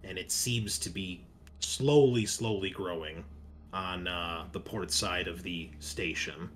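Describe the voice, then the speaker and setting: A man narrating a tabletop roleplaying game as game master, improvising and explaining things in a halting way. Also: low voice, a bit bright.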